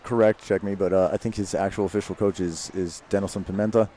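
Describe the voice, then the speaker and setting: A man's voice: sits low, steady tone, warm tone, a little hiss or hum. A man in his late thirties giving chatty, rambling mat-side commentary at a grappling tournament. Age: 30s. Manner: chatty, rambling, quick to laugh.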